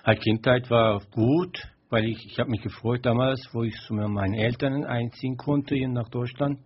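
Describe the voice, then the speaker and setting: A man in his fifties with a husky, breathy voice, speaking in simple, halting phrases. The sound is dull and lo-fi, like an old radio recording. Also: medium pitch, fairly even intonation. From Turkey. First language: Turkish. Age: 50s. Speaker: studio guest. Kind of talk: casual studio chat.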